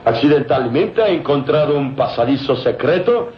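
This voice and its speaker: A man's voice: medium pitch, animated, normal speed, lightly acted. Guy doing cold scheming mexican radio villain, calculating, old muffled hissy recording. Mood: smooth, feigned goodwill. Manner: menacing, calculating. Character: scheming, cold male villain plotting deadly traps. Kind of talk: vintage Mexican radio serial acting.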